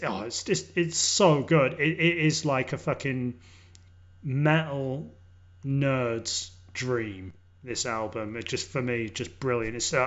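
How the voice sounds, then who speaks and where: A man with an English accent chatting casually as a podcast host about music, sweary, enthusiastic and halting. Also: fairly high pitch, fairly bright tone. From born in London, grew up in Leeds, Yorkshire. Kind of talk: casual music review chat. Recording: dull lo-fi audio.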